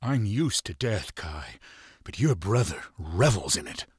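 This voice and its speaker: An actor playing a shrewd, commanding pirate lord in a video game, speaking in a measured, menacing and authoritative way. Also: male voice, fairly low pitch, very animated, husky, no echo, clean studio sound, full character voice. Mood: grave. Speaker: video game character giving mission briefings. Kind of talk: dramatic game cutscene acting.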